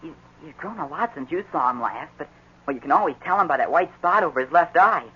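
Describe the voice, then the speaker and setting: A man's voice: on the high side, expressive, fast talker, sharp tone, husky, full character voice. An actor playing an earnest, eager young boy in a 1940s radio drama. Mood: upset, pleading. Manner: gushing, stammers when upset. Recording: muffled, noisy 1940s radio recording.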